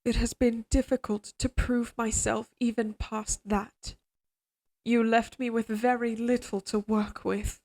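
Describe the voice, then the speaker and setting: Woman playing an evil queen, fairly high voice, formal, measured, imperious, possessive, obsessively devoted, audio drama roleplay. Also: darkish tone.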